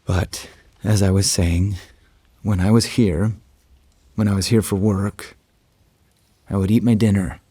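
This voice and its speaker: An actor playing a haunted man who confides a dark secret in a halting, deliberate horror monologue, with repeated broken phrases. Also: deep voice, steady tone, slightly rough. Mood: brooding, wistful. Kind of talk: slow, scripted dramatic monologue for an audio horror drama. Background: music.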